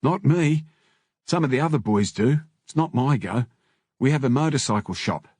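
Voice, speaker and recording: A man voicing a matey, blunt male biker in an audiobook, talking in rough slang. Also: medium pitch, animated, moderate pace, darkish tone, no room sound, voice pushed up, natural voice. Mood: guarded, casual. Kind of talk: audiobook character dialogue.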